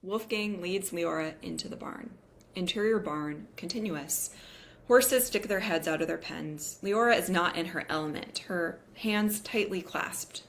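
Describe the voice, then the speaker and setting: A woman's voice: fairly low pitch, a little slow, fairly bright tone, slight background noise. A woman reading screenplay stage directions as a narrator in a scripted style.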